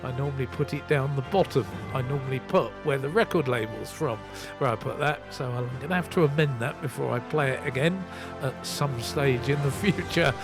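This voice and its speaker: A man's voice: medium pitch, brisk. A man hosting a casual soul music radio show, chatty and self-mocking as he reads out record details. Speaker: radio host and DJ.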